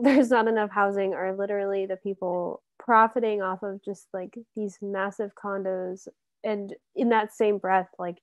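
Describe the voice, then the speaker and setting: A woman's voice: medium pitch, dry recording. A woman in casual conversation, exasperated and blunt, swearing now and then.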